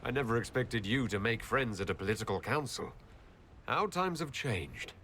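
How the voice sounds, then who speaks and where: A wry young noble hero for a fantasy game, polite and gently teasing. The voice is mid-pitched with lively movement, over faint background noise. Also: male voice, moderate pace. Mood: warm, reflective. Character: courteous, wry young male human noble leader. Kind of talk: video game cutscene acting.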